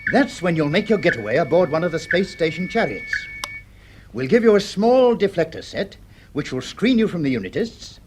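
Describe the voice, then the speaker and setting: An actor with a British accent playing a brisk, authoritative senior space security chief in an old radio drama, emphatic and husky. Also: male voice, on the high side, sing-song, a little hiss or hum. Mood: briskly instructive. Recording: vintage radio drama recording.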